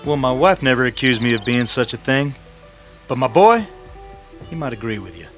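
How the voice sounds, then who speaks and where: An actor playing a bitter, disgruntled captive gambler with an in an old-time radio drama. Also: male voice, mid-range voice, animated, moderate pace, fairly bright tone, faint music behind, natural voice. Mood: sullen. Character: bitter, disgruntled male gambler held prisoner, a father.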